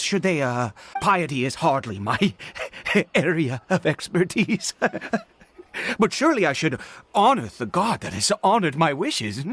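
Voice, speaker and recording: A man's voice: a little high, a bit bright, slightly rough, exaggerated voice. An actor playing a desperate, devoted father in a video game, stammering and theatrical in his delivery. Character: desperate, fervent grieving father, fanatically devoted. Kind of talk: dramatic video game voice acting. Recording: background music under the voice.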